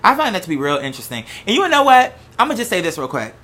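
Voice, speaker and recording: Bright, animated American gossip host. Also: medium pitch, fast talker. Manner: animated, sassy, slangy storytelling with acted-out quotes. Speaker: host of a gossip and commentary show. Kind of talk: casual unscripted monologue.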